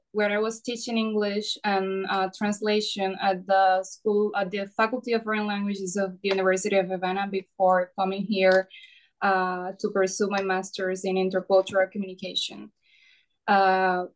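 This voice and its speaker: A woman's voice: mid-range voice, flat delivery, moderate pace, slight background noise, rough recording. A courteous woman from Cuba with a Cuban accent, introducing herself as a student panelist. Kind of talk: self-introduction at an online panel.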